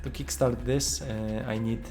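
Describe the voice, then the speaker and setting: Slow, halting man with a Polish accent. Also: mid-range voice, steady tone, over music. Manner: slow, hesitant, many fillers, thinking aloud. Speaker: game developer streaming gameplay. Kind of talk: casual gameplay commentary.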